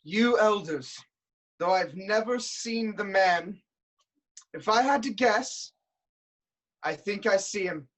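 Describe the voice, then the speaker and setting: A woman playing a proud, stubborn king of ancient Thebes, declaiming deliberately in a theatrical reading. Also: medium pitch, animated. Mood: questioning. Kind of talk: theatrical staged reading of Greek tragedy.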